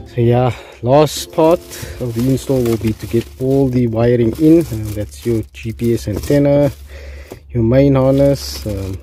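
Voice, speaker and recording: Very dark-voiced South African man. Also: medium pitch. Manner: informal, addresses viewers as guys. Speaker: presenter of a DIY installation tutorial. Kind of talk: casual hands-on tutorial talk.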